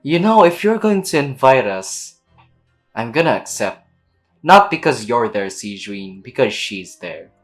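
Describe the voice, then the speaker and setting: A woman's voice: rumbling low, very animated, a little slow. A woman streaming a game with casual, slangy, teasing commentary, reading dialogue aloud. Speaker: gameplay streamer. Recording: game music behind the voice.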